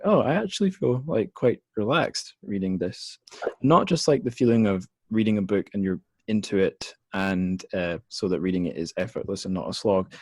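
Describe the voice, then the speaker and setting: A man's voice: lowish voice, a little mellow, no room sound. A man hosting a casual book discussion podcast, thinking aloud in a hesitant, rambling way. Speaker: podcast host.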